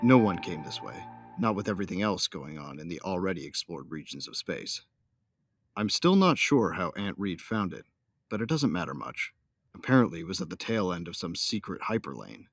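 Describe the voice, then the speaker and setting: Guy playing a young newlywed space captain telling his story, deep voice, close dry sound, audio drama. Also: sing-song, normal speed, dry recording, soft background music, heavily acted. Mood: earnest, tender. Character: young newlywed starship captain, loyal son of smugglers, devoted husband. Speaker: first-person narrator and character. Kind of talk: dramatic first-person audio drama narration.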